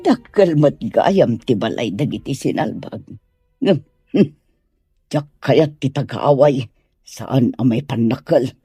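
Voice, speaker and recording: A woman's voice: rumbling low, animated, slow, mellow, breathy edge, no room sound, studio quality, faint music behind, heavily acted. A woman with a Filipino Ilocano accent acting a proud, disapproving middle-aged mother in an audio drama, haughty and complaining. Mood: disdainful. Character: disapproving, proud well-to-do mother opposing a wedding. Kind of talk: radio drama acting in dialogue.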